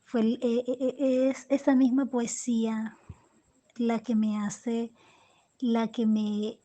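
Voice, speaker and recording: A Colombian woman poet giving reflective interview answers, halting and restarting often as she searches for words. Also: a little high, flat delivery, dark tone. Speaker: poet and storyteller, interview guest.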